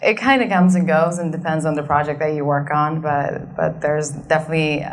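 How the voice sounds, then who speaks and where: A Finnish woman in her thirties with a low, settled voice speaks as a guest at an onstage fireside chat. She is relaxed and reflective, and she pauses with fillers and restarts as she gathers her thoughts. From Finland. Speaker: guest speaker, design manager. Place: event stage in front of an audience.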